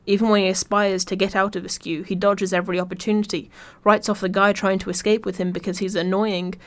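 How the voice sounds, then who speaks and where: A woman reading scripted video essay narration in a wry, informal way. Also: medium pitch.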